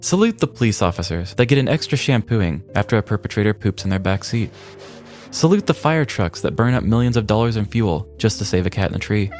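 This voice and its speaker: Male podcast co-host, low register, scripted humorous crime narration, light music bed. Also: animated, fairly quick, a little mellow. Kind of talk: scripted comedic true-crime storytelling.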